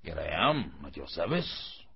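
An old storybook carpenter , as a man acts him out, mutters to himself in a husky voice. He is hesitant and easily spooked, dragging words slowly with big swings in pitch. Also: big pitch swings, lo-fi sound, heavily acted. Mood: weak, polite.

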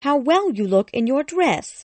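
A woman's voice: fairly high pitch, expressive, slow pace, no room sound. A woman acts out a fawning relation in a classic storybook. She is plaintive and full of self-pity, putting on concern in a raised voice. The recording is close and dry. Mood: falsely sweet. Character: fawning, self-pitying lady relation, falsely caring. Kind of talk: acted audiobook dialogue.

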